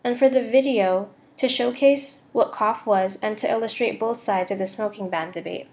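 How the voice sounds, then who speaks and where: A woman's voice: a little high, moderate pace, darkish tone. A young woman student reading a scripted voice-over for a slide presentation. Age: young adult.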